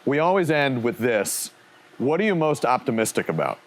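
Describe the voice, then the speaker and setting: A man reporting for TV news, asking measured, clear interview questions. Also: medium pitch, very animated.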